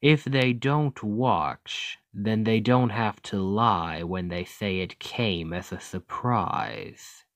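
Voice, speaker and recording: A man playing a smug, calculating demon king in an ASMR roleplay, talking in a deliberate, condescending and persuasive way to the listener. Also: lowish voice, fairly bright tone, dry recording, clean studio sound. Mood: cynical, explanatory. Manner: slow, deliberate, condescending, taunting. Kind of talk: ASMR roleplay, scripted acting addressed to listener.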